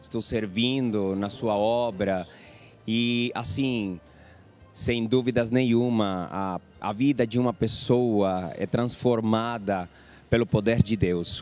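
A man from Venezuela with a Venezuelan Spanish accent, speaking Portuguese as a second language while giving an earnest church testimony in a calm, measured way. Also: fairly low pitch.

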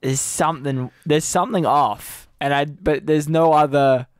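A man's voice: on the high side, steady tone, measured pace, smooth, dry recording, clean studio sound. A man co-hosting a radio show, chatting casually in a rambling, self-deprecating way. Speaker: radio co-host and stand-up comedian.

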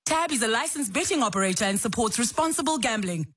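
A woman reading a radio commercial in a bright, brisk ad delivery. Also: fairly high pitch, lively intonation, husky. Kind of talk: commercial voice-over. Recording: music bed behind voice.

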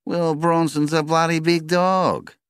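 A man's voice: a little high, flat delivery, slow, clean tone, no room sound, clean studio sound, voice pushed up. A man reading a story aloud, voicing a brash, cocky male kangaroo shooter in a raised voice. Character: gruff rough-spoken male kangaroo shooter.

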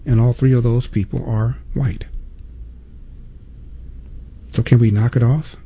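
A man's voice: mid-range voice, steady tone, a little slow, warm tone, slightly rough, lo-fi sound. A man hosting a talk show, delivering an emphatic opinion monologue full of repeated points and rhetorical questions.

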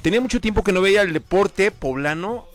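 A man's voice: high-pitched, expressive, a little fast, faint music behind. A man, chatting casually about football on a show, rambling with many fillers. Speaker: sports commentator on a radio show. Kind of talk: casual sports chat.

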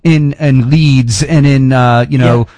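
A man's voice: mid-range voice, fairly even intonation, takes it slowly, darkish tone, no room sound. An American man hosting a radio talk show, chatting casually with a guest in a rambling way with many fillers and restarts. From United States. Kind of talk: casual radio interview chat.

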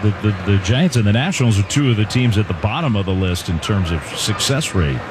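A man's voice: lowish voice, animated, a little fast, noisy background. A man giving chatty, analytical baseball color commentary from the broadcast booth.

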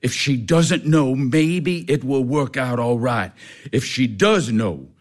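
An actor playing a calculating, bossy middle-aged uncle with a Southern US accent in a stage drama. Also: male voice, mid-range voice, animated, takes it slowly. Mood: scheming. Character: domineering, sharp-tongued, calculating Southern uncle.

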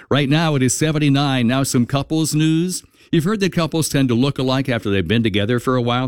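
A man hosting morning radio with a deep voice, reading the weather and making dry jokes. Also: no room sound. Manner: dry, joking. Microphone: studio microphone.

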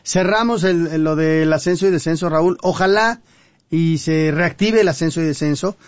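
A man's voice: a little high, moderate pace, dry recording, highs cut off. A man hosting a sports radio show, talking casually and restarting his sentences often. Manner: conversational, hesitant restarts.

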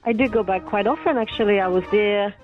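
Caller, female, from Senegal. Mid-pitched, relaxed and chatty, heard over a dull phone line. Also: brisk, faint music behind. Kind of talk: phone-in chat.

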